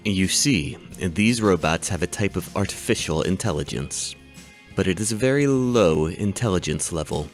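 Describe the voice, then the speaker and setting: A deep-voiced robotics professor. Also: male voice, expressive, soft background music. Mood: lecturing. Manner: measured, explanatory lecturing. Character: calm adult male robotics professor. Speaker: doctor teaching a robotics class. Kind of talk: audiobook character reading.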